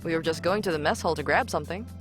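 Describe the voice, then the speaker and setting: A woman's voice: low voice, racing, close to an everyday voice. A woman voicing a sassy, blunt and playful female alien spaceship mechanic in a visual novel game. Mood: friendly, playful. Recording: game music over the voice. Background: video game music.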